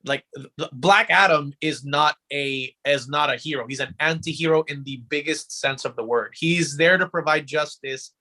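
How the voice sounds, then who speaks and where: A man's voice: a little high, normal speed, pushed and bright, poor audio. A man co-hosting a podcast in casual discussion, speaking emphatically and often repeating his words and phrases. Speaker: podcast co-host. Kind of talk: casual pop culture discussion.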